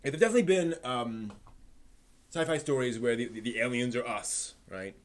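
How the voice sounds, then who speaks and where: A man's voice: mid-range voice, a little fast. A man hosting a live stream, talking off the cuff in a discursive, opinionated way, often repeating words as he thinks aloud. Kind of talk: unscripted opinionated chat about books and films.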